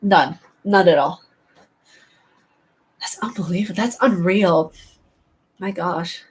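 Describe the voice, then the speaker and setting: A woman's voice: fairly low pitch, takes it slowly, poor audio. A young woman hosting her own channel, chatting casually, laughing often and exclaiming in amazement, repeating herself for emphasis. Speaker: channel host. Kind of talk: casual chat.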